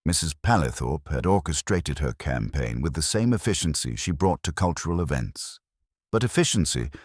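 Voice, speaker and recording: A man narrating a scripted mystery story in a measured, formal storytelling manner. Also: very deep voice, darkish tone, no room sound, studio quality.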